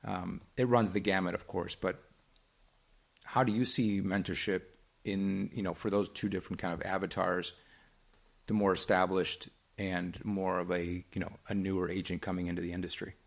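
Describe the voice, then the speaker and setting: A man co-hosting a podcast, asking a measured question while searching for words. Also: low voice, level tone, gravelly. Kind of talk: casual interview question.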